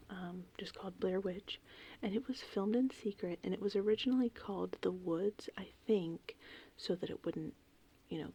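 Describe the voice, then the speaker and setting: A woman whispering in a casual, meandering, unhurried ASMR ramble, with a breathy, creaky voice. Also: mid-range voice, a little hiss or hum. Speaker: ASMR host. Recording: steady rain and thunderstorm ambience. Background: gentle rain and thunder.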